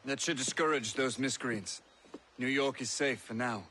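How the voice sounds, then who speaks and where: An actor playing a stern but courteous middle-aged colonel in the British army, with formal, measured speech in a game cutscene. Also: male voice, mid-range voice, pushed and bright, natural voice. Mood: grave. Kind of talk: video game cutscene acting. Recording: clear background noise.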